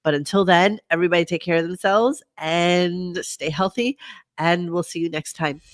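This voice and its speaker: Sick, nasal male host, grumbling and sarcastic, slightly high voice, dry sound. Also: steady tone, moderate pace, a bit bright, no echo. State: congested, sick. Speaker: podcast host. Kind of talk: casual livestream commentary.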